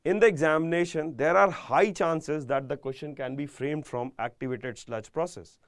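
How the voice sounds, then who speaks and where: A man's voice: on the high side, moderate pace, creaky, no echo. Indian man lecturing, close dry sound. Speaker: engineering lecturer. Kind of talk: live online exam-prep lecture.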